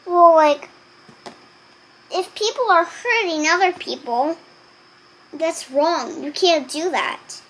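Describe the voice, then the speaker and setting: A young girl reading aloud and chatting to viewers in a halting, deliberate way. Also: very high-pitched, fairly bright tone, a little hiss or hum, rough recording. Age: child.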